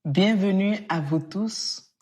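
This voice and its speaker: Nun from Benin, community head; slow, mellow, reflective interview voice. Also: female voice, mid-range voice, breathy edge. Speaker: religious sister, community leader. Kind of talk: documentary interview.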